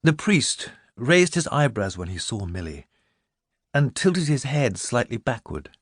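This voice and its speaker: Expressive, husky male audiobook narrator. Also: mid-range voice, a little slow, no room sound, studio quality. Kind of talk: dramatic scripted narration.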